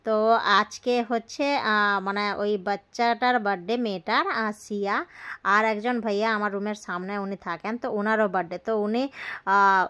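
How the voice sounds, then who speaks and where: A woman's voice: mid-range voice, bright, forceful tone, smooth. A young woman, chatting casually in a rambling way as she records a daily vlog. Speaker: vlogger.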